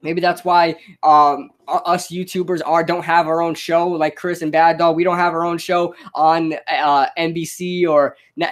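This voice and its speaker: A young man, a podcast host with a slight stutter, giving rambling, unscripted advice that is full of quick restarts. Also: a little high. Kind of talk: casual unscripted advice monologue.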